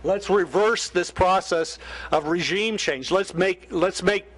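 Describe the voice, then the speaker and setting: Male panelist, impassioned, fillers and restarts, slightly high voice. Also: takes it slowly, a bit bright. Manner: passionate, many fillers and restarts, rallying calls. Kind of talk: public forum remarks.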